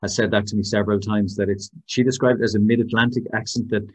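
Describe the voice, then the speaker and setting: Husky Irish man from Waterford. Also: on the low side, fairly even intonation, quick pace. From Ireland, Waterford. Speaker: podcast host. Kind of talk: podcast interview.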